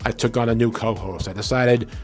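A man's voice: mid-range voice, fairly even intonation, fairly quick, soft background music. A man working as a radio show host, talking conversationally with a bit of showmanship. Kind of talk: conversational radio interview and reminiscence.

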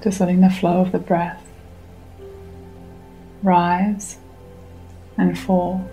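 Female meditation guide, soothing and unhurried, very flat intonation, clear music bed underneath. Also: lowish voice, poor audio. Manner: soothing, unhurried, gently instructing with long pauses.

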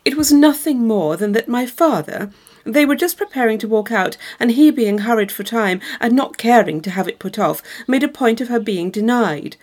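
A woman reads a classic novel aloud in a steady, measured way, her voice sitting a little high. Her reading is expressive but unhurried. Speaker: audiobook reader. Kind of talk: scripted narration of a classic novel.

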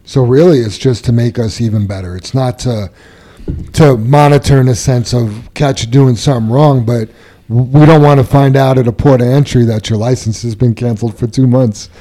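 A man's voice: mid-range voice, normal speed, dark tone. A man hosting a workplace podcast in a casual, conversational style. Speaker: podcast host.

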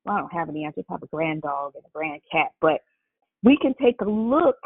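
A woman hosting a call-in prayer line, effusive and quick to exclaim praise. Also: low voice, sing-song, dry recording. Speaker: host and Bible teacher. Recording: tinny phone line.

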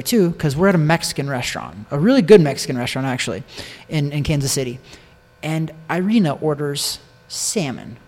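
An American man telling a personal story in casual conversation, repeating phrases for emphasis. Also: a little high. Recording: long echoing reverb.

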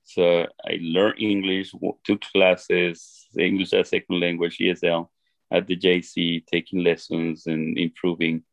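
A middle-aged man from Ecuador with an Ecuadorian Spanish accent, telling his life story to an interviewer in an earnest, halting way with many restarts. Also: sits low, little pitch movement, gravelly, dry recording. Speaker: interview guest. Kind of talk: reflective personal storytelling.

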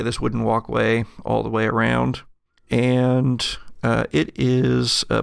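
A man narrating an improvised tabletop game as game master, halting often to find his words. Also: mid-range voice, vocal fry, no echo, studio quality.

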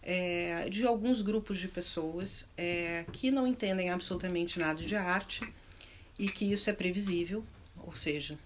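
Halting, lower-pitched southern Brazilian woman. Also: slight background noise. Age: middle-aged. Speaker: panel speaker on arts and culture. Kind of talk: unscripted panel discussion.

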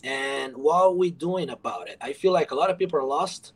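A man's voice: fairly high pitch, fairly quick, breathy edge. A man from Brazil, a guest author telling his story in an earnest, rambling way full of fillers on a casual podcast.

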